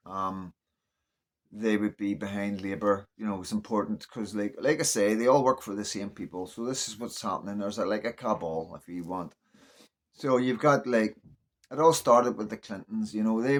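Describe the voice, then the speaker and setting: Low-pitched Northern Irish man talking off the cuff. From Northern Ireland. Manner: conversational, opinionated, often checking in with the listener. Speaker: online political commentator. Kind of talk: casual unscripted political monologue.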